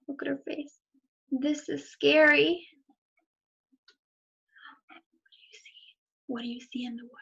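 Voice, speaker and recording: A woman's voice: on the high side, fairly even intonation, brisk, vocal fry, long pauses, darkish tone, husky, dull audio. A woman reading a children's story aloud expressively, with asides to young listeners. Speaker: storybook reader.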